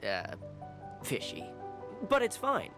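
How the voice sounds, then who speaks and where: A man's voice: on the high side, wildly swooping pitch, slow pace, creaky, loud music behind the voice, full character voice. A man playing a humble, grateful fisherman in a video game, with a hesitant, folksy, earnest manner. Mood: grateful, awkward. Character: humble, grateful fisherman and father, good-natured. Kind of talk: scripted game character acting.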